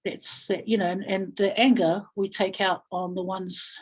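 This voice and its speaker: Husky, reflective New woman. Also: mid-range voice, flat delivery. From Samoa or Samoan community in New Zealand. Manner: halting, thinking aloud, repeats phrases. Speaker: guest speaker, family violence practitioner. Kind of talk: reflective webinar talk, storytelling.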